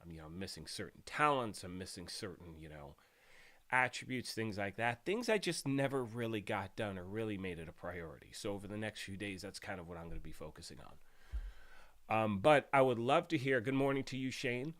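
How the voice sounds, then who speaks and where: A man hosting a gaming live stream, talking casually and greeting viewers by name, a bit raspy while getting over a cold. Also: lowish voice, lively intonation, a little fast, fairly bright tone. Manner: chatty, greets viewers by name, rambling restarts.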